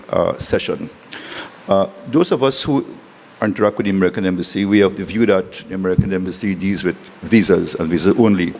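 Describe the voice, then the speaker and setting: A man with a Trinidadian accent giving a formal, somewhat halting welcome speech as a city official. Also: deep voice, a little mellow. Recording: echoey reverberant hall, clearly noisy background.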